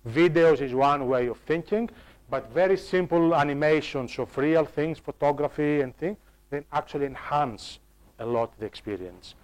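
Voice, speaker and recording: A man's voice: fairly high pitch, moderate pace. A man presenting on online course design in a live workshop. He often restarts and repeats words as he explains, and he checks in with the audience through questions. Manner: addresses the audience directly with questions. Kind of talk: live workshop talk to staff.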